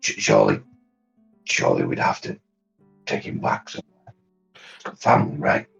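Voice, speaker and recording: A man's voice: huge pitch swings, normal speed, fairly bright tone, faint music behind, heavily acted. A man voicing Kef, a blunt, crude male brute, in a tabletop roleplay. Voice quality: very deep, gravelly. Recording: dull, lo-fi stream audio.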